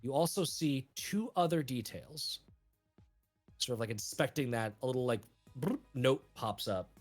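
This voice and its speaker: Man, game master, casual narration, mid-pitched voice, faint music underneath. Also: animated, unhurried. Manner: loose, laughing narration with lots of fillers.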